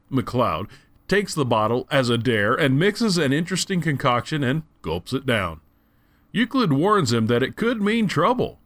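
Man, casual audiobook review, enthusiastic, lively intonation, mid-pitched voice. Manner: enthusiastic, conversational.

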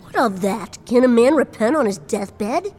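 A woman's voice: a little high, animated, measured pace, a little mellow, in a large hall. A woman voicing a small, cocky adult man who works as a circus sideshow performer, in an audio drama. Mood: brushing off.

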